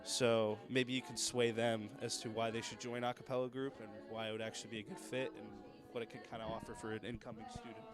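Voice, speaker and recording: A man's voice: medium pitch, little pitch movement, quick pace, few pauses, over music. A young man reporting from a university campus, talking chattily straight to the viewer. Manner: chatty, direct to camera. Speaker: student reporter. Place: campus outdoors and hallway.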